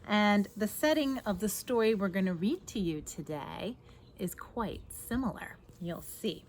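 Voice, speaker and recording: Woman, 30s, expressive storybook reader, very varied pitch, taking her time, recorded in a garden with birds and light background noise. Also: a little slow. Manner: addresses young listeners, asks guiding questions. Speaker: teacher reading a picture book aloud. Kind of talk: expressive children's read-aloud with asides. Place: outdoors in a backyard garden. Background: birdsong, faint outdoor noise.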